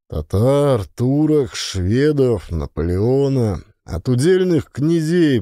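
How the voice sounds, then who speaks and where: A man narrating a first-person autobiographical audiobook in an expressive storytelling style. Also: fairly low pitch, no room sound, studio quality. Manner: varies voice for characters' dialogue.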